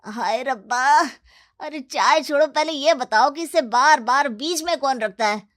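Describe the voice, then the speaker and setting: A woman's voice: high-pitched, measured pace, a bit bright. A woman voicing a jumpy, grumbling young man who is scared of ghosts, exclaiming and complaining in a cartoon story. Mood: pained, annoyed. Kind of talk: animated cartoon story acting.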